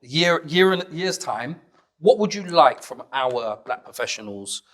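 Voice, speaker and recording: A middle-aged man of Caribbean heritage hosting an interview in a dark studio, playful and teasing, on a lapel mic. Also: a little high, animated, unhurried. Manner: playful and teasing, laughs often. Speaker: interview host. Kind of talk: casual interview chat. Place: dark studio set, indoors.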